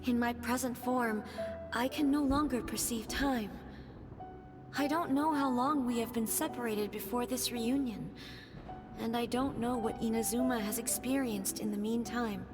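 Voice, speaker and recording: A woman playing a gentle, wistful former goddess in a video game, speaking in a measured, tender and formal way. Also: a little high, long reverb tail, natural voice. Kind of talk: dramatic video game voice acting. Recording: orchestral game music behind the voice.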